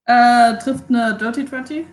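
Woman, raised pitch, echoey room, casual gaming chat, English phrases mixed in. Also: a little slow. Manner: mixes English phrases into her talk. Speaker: player in a tabletop role-playing game. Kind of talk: casual game-table chat, describing her character's moves. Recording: echoey, roomy sound.

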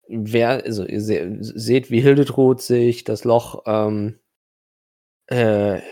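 A man narrating a tabletop role-playing game as game master, improvising in a halting, conversational way. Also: fairly low pitch, dark tone.

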